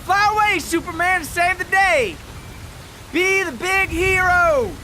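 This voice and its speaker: A man voicing a harsh, foul-mouthed father who taunts and barks orders loudly in a dramatic story. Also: very high-pitched, a little slow, slight background noise, voice pushed up, exaggerated voice. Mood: scornful. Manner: loud, taunting, barking orders.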